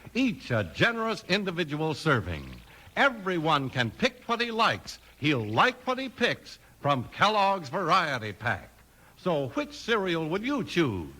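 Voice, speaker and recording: A man's voice: high-pitched, pushed and bright, slight background noise. An American man announcing a 1950s radio show and reading its sponsor advertising in the polished style of that era. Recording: old 1950s radio broadcast. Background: music.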